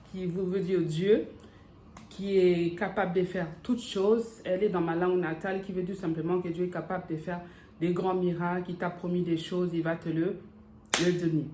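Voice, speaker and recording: A woman's voice: lowish voice, fairly even intonation, moderate pace, darkish tone, reverberant, a little hiss or hum. A woman from Africa giving emphatic family advice to camera and checking in with her listeners. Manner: emphatic and preachy, checks in with "d'accord?"; ends with blessings in a sermon-like cadence.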